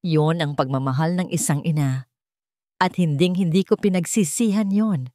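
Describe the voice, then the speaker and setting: A woman voicing a gentle, self-sacrificing mother of a grown son in an audio story. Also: deep voice, moderate pace, no room sound, clean studio sound, lightly acted. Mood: tender.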